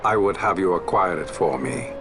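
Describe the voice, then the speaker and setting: An actor playing a grave, shrewd noble resistance leader in a fantasy game, with measured, formal, archaic speech. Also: male voice, low voice, expressive, over music. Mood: firm, calculating. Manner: measured, stately, archaic phrasing.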